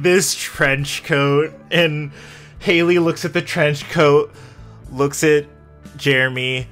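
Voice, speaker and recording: Bright-voiced man running a tabletop game. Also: on the high side. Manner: casual, conversational storytelling. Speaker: game master. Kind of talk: improvised narration of a tabletop roleplaying game.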